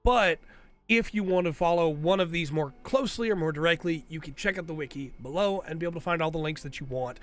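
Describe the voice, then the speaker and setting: A man's voice: a little high, fast, a bit bright. A man hosting a casual commentary video while he has a cold, rambling and often tagging his points with "right?". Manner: rambling, tags lines with "right?". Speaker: video host.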